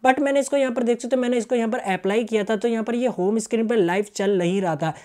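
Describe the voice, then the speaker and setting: A man's voice: very high voice, quick pace, warm tone. A young man giving a casual phone feature walkthrough as a tech reviewer, chatting to viewers in a chummy, colloquial way.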